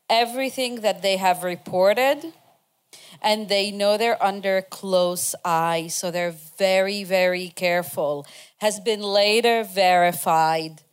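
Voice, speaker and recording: A woman addresses a community forum in a slow, unhurried way. Her voice is smooth and clear, fairly low for a woman. She is earnest and halting, and fills her pauses with many ums. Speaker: panel speaker.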